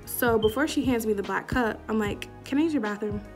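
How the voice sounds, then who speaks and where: A woman's voice: fairly high pitch, a little fast, over music. Young woman telling a slangy story. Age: young adult. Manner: animated, slangy storytelling with acted-out quotes. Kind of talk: casual storytime vlog. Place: small indoor room before a curtain. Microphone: webcam or phone at arm's length.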